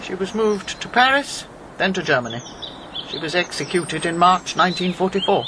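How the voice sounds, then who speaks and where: An actress as a brisk, imperious elderly Englishwoman. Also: fairly low pitch, expressive, noisy background, natural voice. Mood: crisp, composed. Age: 70s or older. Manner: clipped, formal, imperious. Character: brisk, unsentimental elderly woman, former British wartime intelligence officer. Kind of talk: radio drama acting.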